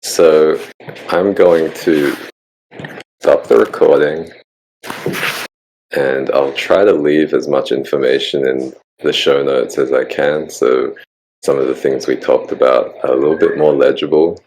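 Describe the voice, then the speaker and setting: A man with an Australian accent hosting a casual online group chat, musing in a relaxed way with frequent ums. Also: a bass voice, unhurried, slight background noise, low-quality recording. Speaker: podcast host.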